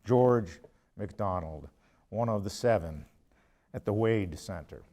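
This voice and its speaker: Older man giving a deliberate academic lecture. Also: on the low side, mellow. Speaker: ethics professor giving a lecture. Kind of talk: academic lecture read from prepared text, with quotations and asides.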